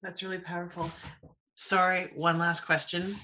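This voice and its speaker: A woman's voice: on the low side, little pitch movement, normal speed, sharp tone, slight background noise, rough recording. A woman hosting a virtual talk, asking questions in a friendly, encouraging way. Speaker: host. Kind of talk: moderating a virtual Q&A.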